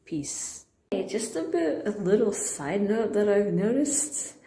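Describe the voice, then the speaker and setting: A nervous woman vlogging casually from a hospital room, hesitant and apologetic with many fillers, sometimes dropping into soft whispered asides. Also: mid-range voice, expressive, slow pace. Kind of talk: casual talk to camera.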